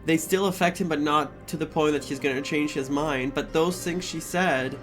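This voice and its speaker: A woman streamer giving casual, emotionally invested live commentary on a story game, halting and repeating herself as she goes. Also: low-pitched, music in the background.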